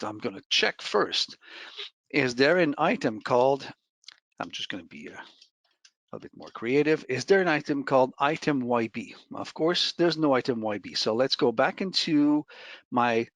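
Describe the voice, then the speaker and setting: A man presenting a software demo in an informal, conversational way, explaining each step as he goes over a screen share. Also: medium pitch, animated, measured pace, dry recording.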